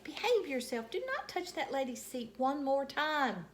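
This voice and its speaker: A woman's voice: high voice, big pitch swings, moderate pace, slight background noise, pitched above the performer's own voice. A woman voicing a polite, easily embarrassed but firm mother in a children's storybook read-aloud.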